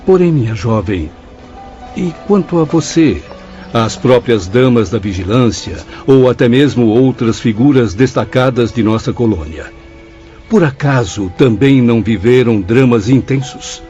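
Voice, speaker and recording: A man's voice: mid-range voice, expressive, unhurried, warm tone, close to an everyday voice. An actor playing an earnest, cultured male professor in a theatrical radio drama, with a Brazilian accent. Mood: earnest admiration. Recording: muffled audio with music.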